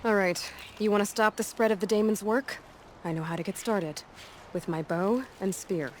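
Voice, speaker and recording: An actress playing a determined young outlander huntress in a video game, with a dry, direct and self-assured delivery. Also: female voice, mid-range voice, normal speed, a little hiss or hum, lightly acted. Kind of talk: video game cutscene acting. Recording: loud ambient game sound effects.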